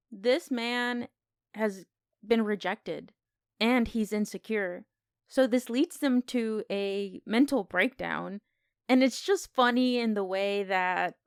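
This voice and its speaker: Clear, smooth-voiced woman co-hosting a podcast. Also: medium pitch, no room sound, studio quality. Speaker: film podcast co-host. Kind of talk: casual film discussion.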